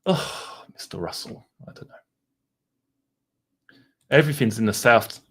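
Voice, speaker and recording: A man's voice: medium pitch, lively intonation, a little slow. Chatty man with an Essex accent. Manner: rambling, chatty, reads out viewer messages; mild swearing, laid-back banter. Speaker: live stream host. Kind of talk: casual live stream chat with viewers.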